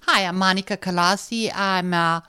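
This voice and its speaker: A woman's voice: mid-range voice, steady tone, normal speed, pushed and bright, clear, resonant tone. An older woman, explaining her family business as an interview guest. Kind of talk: conversational explanation.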